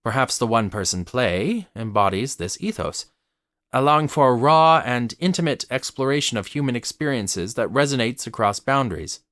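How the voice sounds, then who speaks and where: A man narrates a formal, scripted essay in a close, dry recording. His pitch moves freely up and down as he goes. The phrasing is articulate and measured, in the manner of a theatre commentator. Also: animated, fairly bright tone, dry recording.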